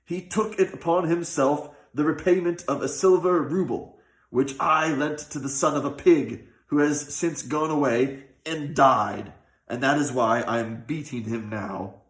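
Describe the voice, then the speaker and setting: A man voicing a grasping, quick-tempered rich man in a folk tale, scolding in archaic speech. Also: fairly high pitch, lightly acted. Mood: angry, scolding. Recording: roomy, echoing sound.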